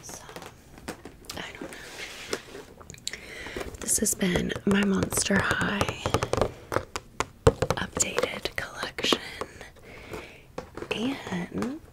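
A woman whispering in a breathy, unvoiced voice, chatting informally to viewers as friends in a casual ASMR storytime. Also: medium pitch, very slow, pauses often. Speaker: ASMR video creator and host.

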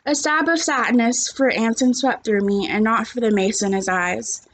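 A woman's voice: on the high side, normal speed, fairly bright tone, slight background noise, poor audio. A young adult woman, a teacher, reading a story aloud and chatting to children, recorded at home on a computer's microphone. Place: small living room at home, indoors.

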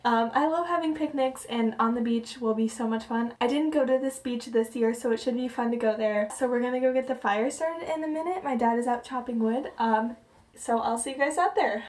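Young woman vlogging on her phone, chatty n upbeat, lots of likes and ums, bit of a high voice. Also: a little fast, darkish tone. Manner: chatty, upbeat, many "like" and "um" fillers. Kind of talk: casual vlog chat. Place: home bathroom and kitchen, sunny beach outdoors. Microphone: phone camera at arm's length.

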